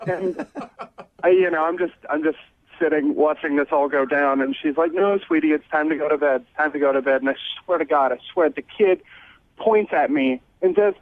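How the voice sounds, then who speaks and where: A man's voice: fairly high pitch, moderate pace, dry recording. A man calling a radio show, casually telling a personal story in an earnest way over the telephone. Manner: earnest, serious. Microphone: telephone call. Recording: tinny narrow phone line.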